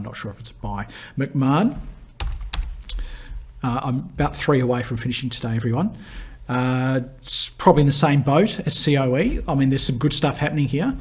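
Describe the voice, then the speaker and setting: A hoarse man who is losing his voice, hosting a live viewer Q&A and rambling through stock charts with lots of fillers and restarts. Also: medium pitch. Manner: chatty and rambling, many fillers and restarts, quick laughs. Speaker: market analyst hosting a viewer Q&A. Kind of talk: live chart commentary answering viewer questions.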